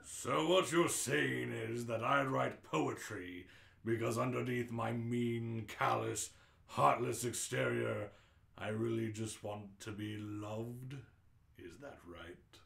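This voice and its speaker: A man's voice: medium pitch, steady tone, creaky, a bit bright, echoey room, heavily acted. Man putting on a pompous, menacing alien captain. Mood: slow, brooding. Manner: slow, deliberate, menacing delivery with theatrical pauses. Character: mean, callous, pompous alien spaceship captain.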